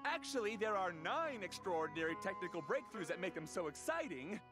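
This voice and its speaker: High-voiced, eager nerdy inventor. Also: male voice, sing-song, brisk. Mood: eager, polite. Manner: formal, wordy, eager to explain. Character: eager, nerdy male inventor, polite and long-winded. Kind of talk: video game voice acting. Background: plucked-string game music.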